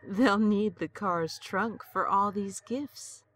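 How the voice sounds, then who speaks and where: An actress playing an elderly grandmother and wife, gentle and earnest, in an audio drama. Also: medium pitch, slow pace, no room sound. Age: older. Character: elderly grandmother, lonely and worried.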